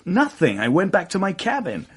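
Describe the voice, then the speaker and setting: An actor playing a hot-tempered, defiant murder suspect in a radio mystery, blunt and defensive. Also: male voice, high voice, huge pitch swings, moderate pace, breathy edge, heavily acted. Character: defiant, hot-tempered male actor under police suspicion. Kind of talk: radio mystery drama acting.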